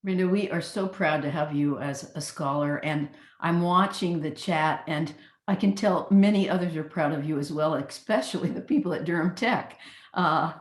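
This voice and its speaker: A woman hosting a webinar on a video call, praising speakers and moving briskly to the next topic. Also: low voice, roomy sound. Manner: warm praise, chatty, brisk transitions. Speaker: host, program leader.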